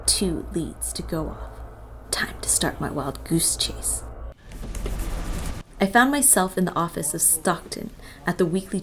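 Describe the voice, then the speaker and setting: A woman playing a young college student of the occult, narrating her story in a wry, world-weary, confiding way for an audio drama. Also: fairly low pitch. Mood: intrigued. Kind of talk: first-person scripted audio drama narration.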